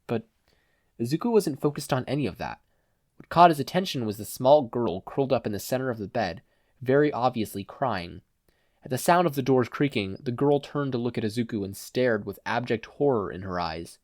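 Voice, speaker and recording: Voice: mid-range voice, expressive, brisk, a little mellow, dry recording, clean studio sound. A narrator reading fan fiction as a scripted audiobook.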